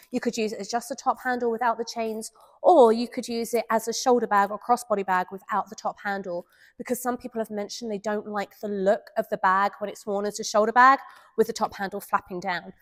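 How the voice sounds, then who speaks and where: A woman's voice: fairly high pitch, fairly bright tone. A woman with a head cold, sounding nasal, chatting enthusiastically through a handbag review. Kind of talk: casual product review vlog.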